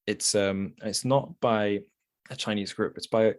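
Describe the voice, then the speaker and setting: A man's voice: fairly low pitch, fairly even intonation, measured pace. A man hosting a podcast, chatting casually and informally with a tentative, wry manner. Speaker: podcast host. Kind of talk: casual book podcast chat.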